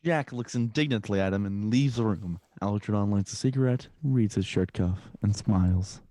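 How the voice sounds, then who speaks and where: Table-read narrator: man, soft relaxed timbre, very dry sound, informal delivery. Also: fairly low pitch, normal speed, dry recording. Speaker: stage-direction narrator of a table reading. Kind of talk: scripted narration and casual chat.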